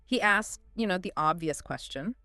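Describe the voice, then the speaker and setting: Female co-host, smooth clear voice, animated storyteller, dry close recording. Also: lowish voice, normal speed, no room sound. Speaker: podcast co-host. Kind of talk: scripted two-host discussion.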